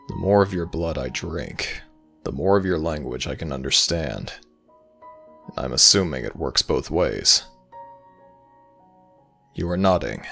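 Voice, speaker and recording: Man voicing very deep, slow ancient Egyptian god. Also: gravelly, bright, forceful tone, slightly rough, full character voice. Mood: dry, exasperated. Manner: formal, measured, archaic phrasing, addressing a listener as child. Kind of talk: ASMR audio roleplay, slow intimate dramatic monologue.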